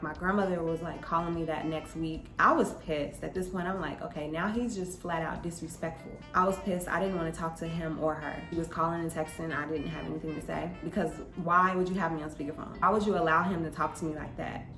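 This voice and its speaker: A young woman with a slightly low voice tells a personal story like a vlogger talking to viewers. Her delivery is conversational and emphatic. The room sounds echoey, and music plays behind her. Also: fairly even intonation, a little fast, hardly any pauses, bright, forceful tone. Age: young adult.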